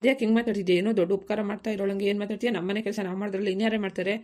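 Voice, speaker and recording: A woman voicing a quick-tempered village farmer husband who bickers and coaxes in a family cartoon, with a rural southern Karnataka accent. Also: mid-range voice, steady tone, dark tone, lightly acted. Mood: irritated, belittling. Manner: bickering, colloquial, nagging questions.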